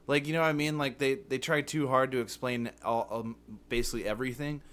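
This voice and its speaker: A man's voice: medium pitch, steady tone, fast, a bit bright. Joking man in casual chat. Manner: joking, crude, says "you know what I mean" often. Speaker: podcast co-host.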